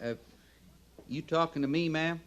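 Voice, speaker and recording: Backwoods sergeant, raised pitch, folksy, sly, deferential to ladies, radio western. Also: male voice, a little slow, a bit bright, lo-fi sound. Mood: innocently sly. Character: folksy, sly, hard-drinking frontier army sergeant. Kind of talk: old time radio western drama acting.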